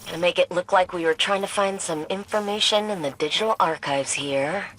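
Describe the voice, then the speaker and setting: A woman's voice: fairly low pitch, brisk, a little hiss or hum, natural voice, low-quality recording. An actress playing a driven, informal younger woman scientist and field leader in a video game. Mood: brisk, wry. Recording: loud music bed behind voice.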